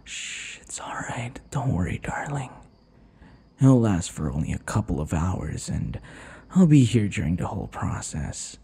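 A man playing a possessive, taunting vampire captor in an intimate ASMR roleplay, his breathy voice often dropping into whispers. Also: low voice, very animated, warm tone, full character voice. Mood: soothing. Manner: taunting, slow, drawn-out endearments. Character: possessive, obsessive male vampire captor and would-be lover. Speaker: audio roleplay voice actor.

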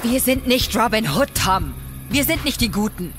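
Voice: medium pitch, lively intonation, normal speed, music in the background, lightly acted. An actor playing a pragmatic, cynical intelligence superior in a radio drama, speaking matter-of-factly. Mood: cool, cynical. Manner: matter-of-fact, explaining.

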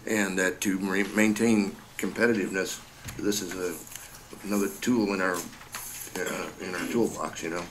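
A man on a board, giving informal remarks at a meeting. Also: sits low. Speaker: board member. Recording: noisy meeting room recording.

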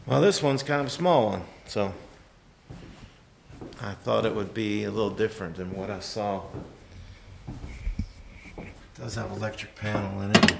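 A man's voice: fairly low pitch, creaky, heavy background noise. A middle-aged man with a Maine accent, casually musing as he films a tour of an unfinished cabin on his phone. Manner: musing, unhurried, trailing off. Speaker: vlogger touring a cabin. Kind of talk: casual walk-through commentary. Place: outdoors in autumn woods and inside an unfinished wooden cabin. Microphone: phone camera at arm's length.

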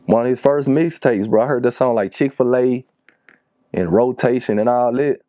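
A man's voice: medium pitch, moderate pace, mellow, dry recording, highs cut off. A man in his thirties with a Louisiana Southern accent, chatting casually and telling stories in slangy talk as an interview guest. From Shreveport, Louisiana, United States. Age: 30s. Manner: slangy, conversational. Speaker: music producer, interview guest. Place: small wood-panelled recording studio.

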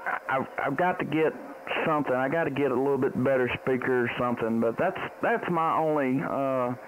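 A middle-aged man hosting a casual amateur radio livestream, speaking close to a boom microphone. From Tennessee, United States. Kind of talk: casual ham radio chat and calling. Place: small indoor home radio room. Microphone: close boom microphone with headset. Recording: dull lo-fi sound, faint radio noise.

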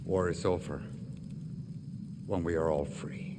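An actor playing a weathered, nostalgic old hermit fighter who speaks slowly and solemnly. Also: male voice, deep voice, steady tone, heavy background noise. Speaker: video game character.

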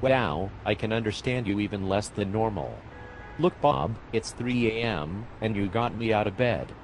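A man voicing a tired, sarcastic male fire medic in an amateur animated comedy, speaking dryly and with exasperation. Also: lowish voice, normal speed, soft background music.

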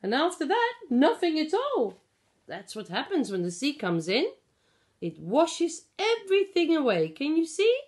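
A middle-aged woman reading a picture book aloud to young children, explaining and asking simple questions in an expressive way. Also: sits high, measured pace. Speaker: pre-school storyteller. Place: small living room at home. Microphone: phone or tablet at about arm's length.

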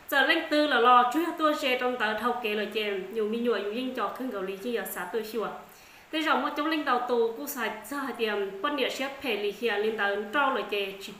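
A woman in her thirties, talking to the camera as a channel host, telling a story in a calm, earnest way. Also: fairly high pitch. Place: dim wood-walled room indoors. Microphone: camera in front at desk distance. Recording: echoey room with faint background noise.

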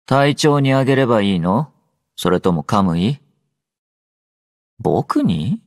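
An actor playing a drowsy, low-energy young male android soldier in an anime game, aloof yet gentle, with a languid, understated delivery. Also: big pitch swings, slow pace, no echo, studio quality. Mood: drowsy, nonchalant. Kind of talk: Japanese anime game character voice lines.

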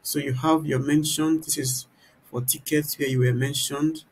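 A man giving an informal, explanatory software tutorial, often turning statements into little rhetorical questions. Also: a little high, fairly even intonation, normal speed, low-quality recording. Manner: explanatory, poses rhetorical "what?" questions mid-sentence. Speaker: tutorial presenter. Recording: clear background noise.

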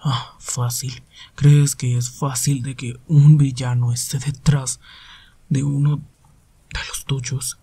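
A man plays a worn-out, brooding hero teacher in an anime roleplay. His voice is husky and dark, with a creaky edge. He speaks in a subdued, reluctant, weary way. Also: mid-range voice, little pitch movement, slow pace. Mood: weary, irritated. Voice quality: husky, breathy. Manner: tired, sighing, subdued. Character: weary, gloomy, reserved male hero teacher. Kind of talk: anime fan-dub ASMR roleplay.

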